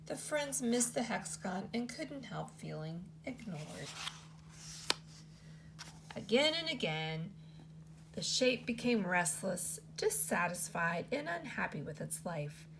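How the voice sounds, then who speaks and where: A woman teacher reading a children's picture book aloud in an expressive storytelling style. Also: takes it slowly, creaky, background noise. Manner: expressive read-aloud with lots of pitch movement.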